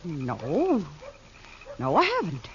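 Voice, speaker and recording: A woman's voice: deep voice, wildly swooping pitch, slow pace, exaggerated voice. An actress playing a frightened frontier woman held hostage, strained in manner, in an old radio drama. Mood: guarded fear. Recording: dull, faintly noisy old radio recording.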